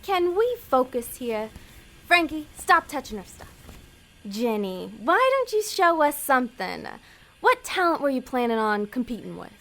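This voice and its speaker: A woman playing a bossy, impatient demon posing as a talent developer in an audio comedy, brisk and sarcastic. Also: high voice, big pitch swings, a bit bright, exaggerated voice. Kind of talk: audio comedy drama acting.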